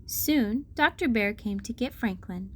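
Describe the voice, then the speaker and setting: A woman's voice: medium pitch, animated, measured pace, darkish tone. A woman hosting a read-aloud, narrating a children's storybook expressively. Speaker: storybook reader and channel host.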